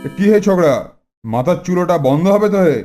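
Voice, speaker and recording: A man voicing a skeptical, condescending older gentleman in a children's cartoon. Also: sits high, expressive, fairly quick. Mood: doubtful. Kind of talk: exaggerated children's cartoon acting. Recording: music behind the voice.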